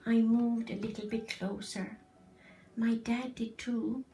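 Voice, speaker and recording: High-voiced woman reading slowly. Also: level tone, vocal fry, faint music behind. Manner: slow, dramatic reading with hushed and emphatic phrases. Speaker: story reader. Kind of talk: expressive children's storytelling.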